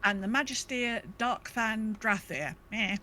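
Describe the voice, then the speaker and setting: A woman co-hosting a podcast, reading a game lore recap casually with wry, deadpan asides. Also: on the high side, unhurried. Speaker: podcast co-host. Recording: faint background noise.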